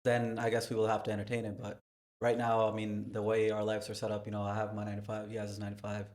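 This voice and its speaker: A man with a lowish voice and a quick pace talks as a guest on a casual podcast. He sounds relaxed and low-key. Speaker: musician guest. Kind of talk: casual interview chat.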